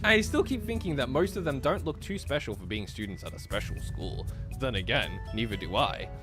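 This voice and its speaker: A man's voice: medium pitch, lively intonation, brisk, music in the background. A man reading a visual novel's narration aloud on a casual game stream and bantering between passages.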